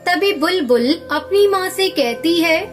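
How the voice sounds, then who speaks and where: Female narrator for children's tales: very high pitch, scripted storybook delivery over soft music. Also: animated, a little slow. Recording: music under the voice.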